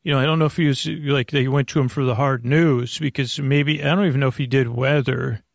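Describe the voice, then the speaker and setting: An American man hosting a sleep podcast, talking in a meandering, hesitant, reassuring monologue with a gravelly voice. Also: mid-range voice, steady tone, a little fast, dry recording, studio quality. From United States. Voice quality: creaky, gravelly. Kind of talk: rambling casual monologue.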